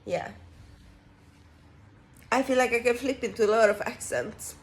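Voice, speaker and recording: Chatty Norwegian-accented woman, higher voice. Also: measured pace. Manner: playful, chatty, swears casually. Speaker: vlogger. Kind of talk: casual chat.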